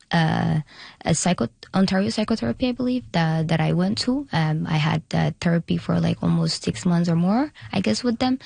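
Halting low-voiced young woman, gravelly. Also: a little mellow, no room sound. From Eritrea, raised in Sudan. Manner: halting, frequent restarts and hesitations. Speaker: guest, pageant contestant. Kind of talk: in-studio radio interview. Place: radio studio.

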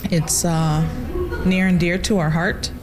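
A woman's voice: fairly low pitch, level tone, takes it slowly, music in the background, low-quality recording. A young woman who teaches a language, speaking in a reflective, earnest way. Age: young adult.

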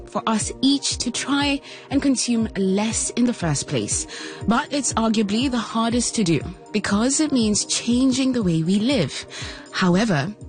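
A woman with a Kenyan accent presenting a programme, moving between scripted links and studio conversation. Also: on the high side. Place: radio studio.

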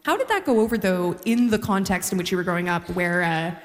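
Young woman, stage interviewer, chatty, informal, rapid speech, reverberant hall. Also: fairly low pitch, animated, faint music behind. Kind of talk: live onstage interview.

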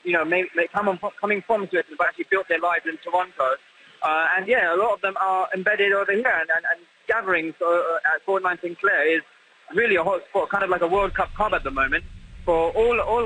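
A British man managing a bar, talking by phone in a live news interview, excited and rambling with many restarts. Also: high-pitched. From Britain. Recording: phone line with noisy crowd behind. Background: crowded bar noise.

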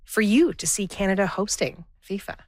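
A woman interviewing a guest, asking clear and friendly questions. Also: mid-range voice, moderate pace, darkish tone, no echo, clean studio sound.

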